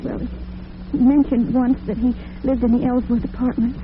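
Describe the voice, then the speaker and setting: A woman's voice: a little high, level tone, normal speed, narrow, tinny audio, natural voice. Woman acting timid young lady, noisy old radio. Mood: anxious, resolute. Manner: hesitant, earnest, anxious. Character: timid, troubled, proud young working woman.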